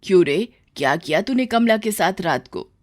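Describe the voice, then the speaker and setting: A woman's voice: mid-range voice, animated, normal speed, natural voice. A woman voicing a scolding, worried elderly mother-in-law in a cartoon story, nagging and exasperated.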